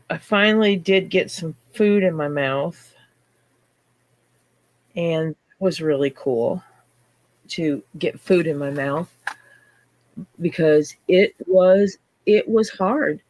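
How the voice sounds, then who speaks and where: A woman's voice: fairly low pitch, takes it slowly, long pauses, darkish tone, dry recording. Woman host, scratchy sick voice, chatty livestream talk, dry close mic. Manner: chatty, greets viewers by name mid-story. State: scratchy voice from illness. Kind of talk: casual livestream chat.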